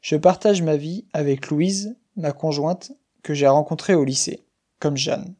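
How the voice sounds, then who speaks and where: A man in his twenties from western France, reading a scripted personal story slowly and clearly for language learners. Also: a little high, dark tone. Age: 20s. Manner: slow, clear, careful reading. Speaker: guest speaker.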